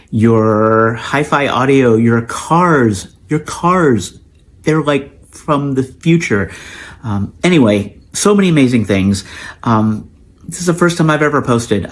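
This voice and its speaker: A man from the United States introducing himself in his first video post, friendly and enthusiastic, with many ums. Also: on the low side.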